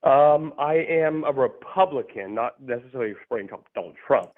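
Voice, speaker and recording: A man in his thirties calling in, talking in a halting way with many fillers. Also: mid-range voice, expressive. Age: 30s. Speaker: caller. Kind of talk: casual call-in debate. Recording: tinny phone line.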